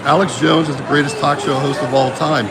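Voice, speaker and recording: A man's voice: on the high side, steady tone, fairly quick, vocal fry, loud music behind the voice, over a noisy crowd. A man live-streaming from a phone while walking a busy mall, talking rambling and loose, repeating himself and saying "bro" constantly, sick and losing his voice. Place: outdoors and in a busy shopping mall. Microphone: phone held while walking.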